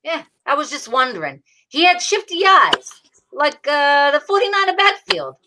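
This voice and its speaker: A woman playing a gruff but fond, exasperated police detective in an old-time radio drama, hardboiled and teasing. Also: sits high, very animated, moderate pace, background noise. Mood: wry questioning.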